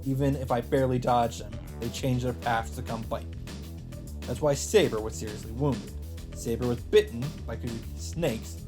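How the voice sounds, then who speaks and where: A man with a mid-pitched voice narrates a visual novel for a let's play. He reads deliberately and with dramatic emphasis, with faint music underneath. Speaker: let's play host reading the game's text aloud.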